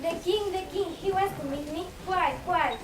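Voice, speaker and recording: A frightened young peasant daughter a woman acting pleads in a high voice, anxiously repeating herself. It is stage-play speech, recorded at a distance with clear noise around it. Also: normal speed. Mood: bewildered. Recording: distant echoey room, clear background noise.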